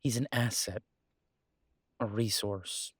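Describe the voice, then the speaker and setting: Low, slow-spoken, hesitant werewolf pack leader played by a man. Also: fairly even intonation, slightly rough, no room sound, clean studio sound. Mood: reassuring. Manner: hesitant, reflective, halting with pauses. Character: Caleb, conflicted male werewolf pack leader, earnest, wry, protective. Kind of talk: intimate audio roleplay, natural hesitant monologue.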